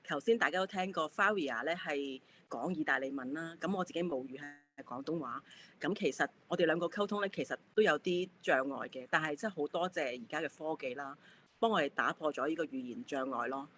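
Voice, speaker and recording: A woman artist from Hong Kong presenting her work in an online talk, soft and a little hesitant. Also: low-pitched, fairly quick, a little hiss or hum. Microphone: video call.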